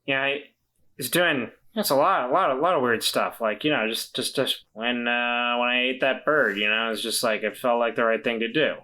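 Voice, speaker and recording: A man's voice: mid-range voice, normal speed, pushed and bright, lightly acted. A man playing an uneasy, evasive character turning into an animal, stammering and repeating himself in a tabletop roleplaying game. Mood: hesitant, unsettled. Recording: faint guitar music behind the voice.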